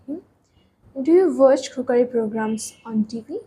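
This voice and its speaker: Casting: woman examiner, fairly high mellow voice, formal questions, faint hiss. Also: unhurried, rough recording. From Bangladesh. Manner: formal, scripted questions with ums. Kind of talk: mock exam questioning.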